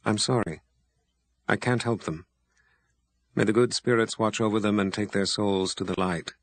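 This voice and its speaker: A man's voice: on the low side, normal speed. A man reading a stern commanding wizard. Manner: firm, weighty, commanding. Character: stern powerful older male wizard, cold resolve.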